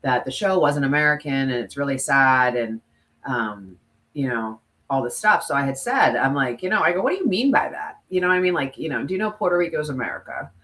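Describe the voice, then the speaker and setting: A woman's voice: very deep voice, animated, brisk, fairly bright tone. A woman co-hosting a podcast, telling stories emphatically in candid, conversational talk and often quoting herself and others. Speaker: podcast co-host, former TV news presenter.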